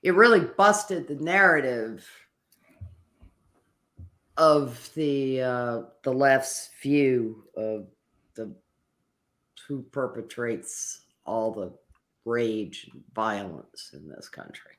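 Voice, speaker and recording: A panelist in a casual political discussion, with a medium-pitched voice. The delivery is conversational and sarcastic, with swearing mixed freely into the commentary. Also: lively intonation, very slow, long pauses, fairly bright tone. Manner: sarcastic, profane. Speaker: talk show panelist.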